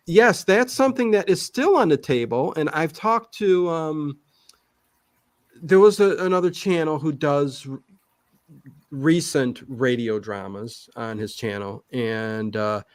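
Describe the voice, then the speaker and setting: A man chatting casually as an interview guest, rambling and often hesitating and correcting himself. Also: fairly high pitch. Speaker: guest, online old-time radio channel host.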